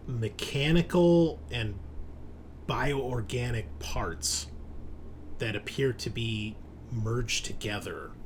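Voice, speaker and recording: Slow-paced man running a horror tabletop game. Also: mid-range voice, slight background noise. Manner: descriptive, conversational with profanity. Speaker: game master.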